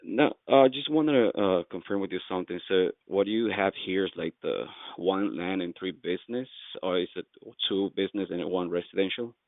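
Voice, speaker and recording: A man's voice: sits low, normal speed, vocal fry, hardly any pauses, no echo. Young man on a tinny phone call. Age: 20s. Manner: friendly, hesitant, filler-heavy. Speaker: real estate wholesaler cold calling. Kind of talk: casual phone conversation.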